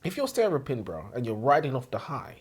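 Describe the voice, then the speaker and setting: A man co-hosts a book review with very lively, swinging intonation. He is blunt and exasperated, swearing freely as he gives his opinions in casual chat. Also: medium pitch, fast, husky. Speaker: co-host of a book review show.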